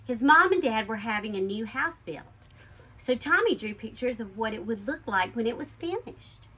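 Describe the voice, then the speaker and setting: A woman reads a children's story aloud in a bright, slightly high voice. Her delivery is expressive and emphatic, as a teacher would read to a class. Faint noise sits behind the voice. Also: moderate pace, low-quality recording. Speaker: elementary school teacher reading a picture book aloud to pupils.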